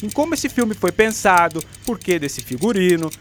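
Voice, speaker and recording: An enthusiastic, didactic male teacher with a Brazilian accent. The voice sits high for a man, over faint background noise. The delivery is patient and explanatory, as in a radio play classroom. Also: sing-song, normal speed. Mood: instructing, reassuring. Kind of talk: radio drama, classroom lecture.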